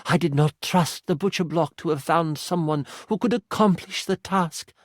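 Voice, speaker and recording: A man voicing a proud, anxious queen in a fantasy game, speaking formally and with measured authority. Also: on the high side, dry recording, clean studio sound, lightly acted. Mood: earnest, confessing. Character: proud anxious queen pleading for her child, regal and magical.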